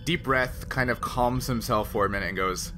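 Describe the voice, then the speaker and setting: A man's voice: mid-range voice, moderate pace, music in the background. A man working as game master, narrating and refereeing a tabletop game in a casual style.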